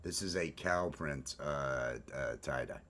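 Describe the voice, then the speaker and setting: A man with a baritone voice hosts a casual morning chat, rambling with restarts and fillers. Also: slow pace, bright, forceful tone. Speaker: host.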